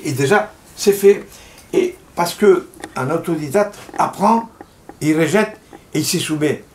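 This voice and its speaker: An older man from Italy, a painter speaking French with an Italian accent, who reflects on his life and his paintings in a halting, heartfelt way, stumbling over repeated words. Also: fairly high pitch. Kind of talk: reflective personal monologue. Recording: roomy echo.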